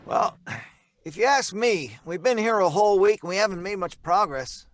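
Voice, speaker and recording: A man's voice: high-pitched, expressive, moderate pace, no room sound. A man playing an eager, methodical youthful son with a boyish manner in an old-time radio drama. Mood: impatient. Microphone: microphone on a video call.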